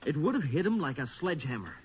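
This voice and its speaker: An actor playing an earnest, uneasy investigator who explains the supernatural in a grave manner in a radio drama. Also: male voice, fairly high pitch, sing-song, fast, slightly rough, full character voice. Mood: insistent. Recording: muffled old radio recording.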